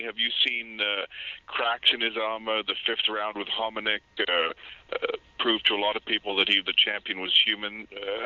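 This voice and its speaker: A man's voice: fairly low pitch, bright, forceful tone, dry recording. A man working as a reporter, asking questions on a press conference call over the telephone. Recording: dull, dry phone-line sound.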